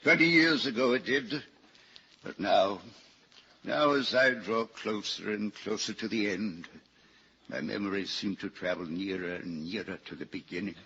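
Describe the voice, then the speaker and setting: An actor playing a grumpy but tender-hearted old banker of nearly eighty in an old-time radio drama. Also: male voice, fairly low pitch, moderate pace, sharp tone, breathy edge, full character voice. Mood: wistful. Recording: dull, hissy old radio recording.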